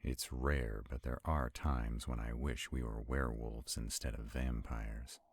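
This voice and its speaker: An arrogant male vampire acted by a man speaks in an extremely low, husky voice. He takes his time over every phrase, haughty and commanding, protective yet skeptical, as in a supernatural audio roleplay. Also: level tone, unhurried, no echo, studio quality, heavily acted. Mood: tense, reluctant. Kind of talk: roleplay audio drama acting.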